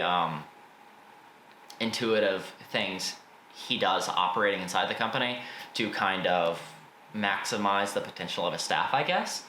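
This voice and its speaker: Guy doing a casual book review vlog, lowish bright voice, echoey room w a bit of noise. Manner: informal, hedging, blunt opinions. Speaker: book reviewer and video host.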